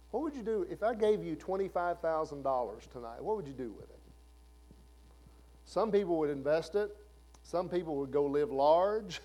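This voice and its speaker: A man's voice: on the high side, dark tone. A man in his fifties preaching a sermon in a conversational way, asking the listeners questions. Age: 50s. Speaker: pastor.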